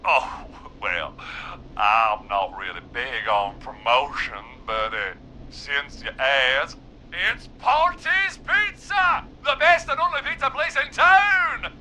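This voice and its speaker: A super bright high voiced pizza shop owner, slow and theatrical, always selling, video game. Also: male voice, sing-song, slight background noise, exaggerated voice. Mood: oily, promotional. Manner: theatrical, falsely modest salesman. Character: shamelessly self-promoting small-town pizza shop owner.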